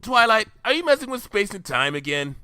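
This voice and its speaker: A man voicing a brash, sporty young pony mare who talks in a loud, cocky, impatient way. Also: high-pitched, big pitch swings, a little fast, smooth, no room sound, voice pushed up, heavily acted.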